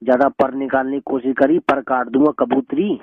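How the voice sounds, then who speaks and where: A man's voice: medium pitch, flat delivery, a little fast, slightly rough, dry recording. A man argues heatedly on the phone, scolding and threatening in colloquial speech. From Bareilly area, Uttar Pradesh, India. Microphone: telephone. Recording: muffled lo-fi phone call recording.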